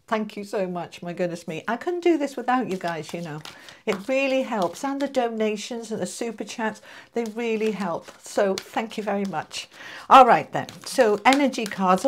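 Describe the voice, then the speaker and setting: A woman's voice: medium pitch, slight background noise. A woman doing a casual tarot reading to camera. She sounds congested from allergies and chats with her viewers in a friendly, reassuring way. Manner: chatty, reassuring, addresses viewers as guys. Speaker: tarot reader.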